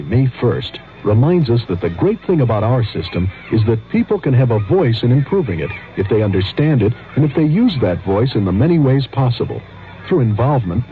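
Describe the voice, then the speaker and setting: Lively-pitched American announcer, tinny radio. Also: male voice, a little hiss or hum. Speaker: public service announcer. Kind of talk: scripted radio public service announcement. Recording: thin phone-like old radio recording.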